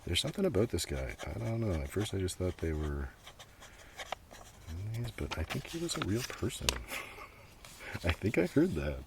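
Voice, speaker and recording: Very deep, creaky-voiced man doing outdoor ASMR. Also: sing-song, normal speed, pauses often. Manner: rambling, half-murmured, drifting into whispers. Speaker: host of a collection show-and-tell. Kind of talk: casual unscripted ASMR show-and-tell. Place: outdoors in a gentle breeze. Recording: outdoor noise, light breeze. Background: breeze, paper rustling and page flipping.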